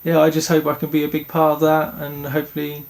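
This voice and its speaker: A young man from Denmark, a speedway rider answering interview questions in an earnest, halting way with many restarts. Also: on the high side, completely flat delivery, vocal fry, a little mellow.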